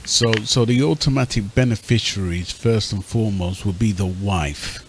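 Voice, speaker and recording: Over a clearly noisy line with clicks, a man with a lowish voice hosts a talk show. He speaks in short moderating prompts and questions. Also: normal speed. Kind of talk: brief moderating interjections in a radio discussion.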